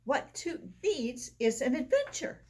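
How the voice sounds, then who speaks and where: A woman's voice: sits high, big pitch swings, slow pace, a little mellow, pitched above the performer's own voice, full character voice. A woman voicing a caring, encouraging male pig from a children's storybook, earnest and reassuring. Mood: thoughtful.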